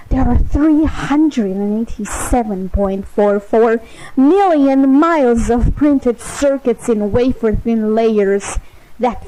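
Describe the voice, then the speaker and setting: A woman voicing a hateful, sadistic godlike supercomputer with a teenage god complex, taunting in a deliberate, mock-sweet dramatic monologue. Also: fairly high pitch, dark tone, a little hiss or hum, low-quality recording. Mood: seething hatred. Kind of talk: dramatic monologue, fan voice acting of game lines.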